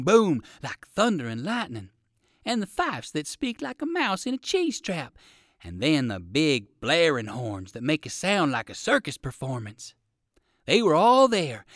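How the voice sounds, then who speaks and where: A man narrating a children's story in an expressive, dramatic manner. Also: on the high side, dry recording, studio quality.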